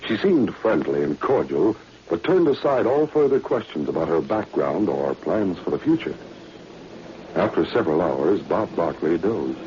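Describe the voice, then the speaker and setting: A male narrator of a 1940s radio drama, telling the story dramatically. His deep voice swings expressively, on a dull vintage recording with faint noise. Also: sing-song, normal speed, mellow.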